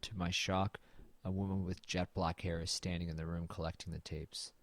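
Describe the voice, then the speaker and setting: A man's voice: deep voice, little pitch movement, normal speed. A man playing a weary, haunted man who narrates his own horror story in a glum, understated way in an audio drama. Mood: somber, resigned. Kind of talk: first-person scripted audio drama narration and dialogue.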